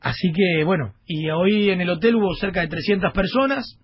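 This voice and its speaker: A man's voice: high-pitched, moderate pace, slightly rough. An Argentine man talking casually as a panelist on a radio show. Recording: slightly muffled radio broadcast.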